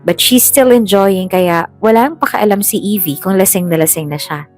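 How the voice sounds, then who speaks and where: A woman's voice: medium pitch, moderate pace, darkish tone, soft background music. A woman narrating a scripted dramatic story as storyteller, mixing in English phrases. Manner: mixes English phrases into Tagalog.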